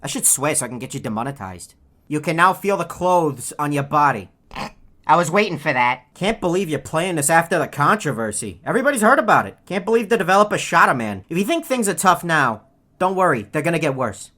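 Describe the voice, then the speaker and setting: An actor playing a snarky, self-aware video game character who taunts the player with sarcastic, condescending, deadpan lines. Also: male voice, on the high side, fairly quick, full character voice. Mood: smug taunting.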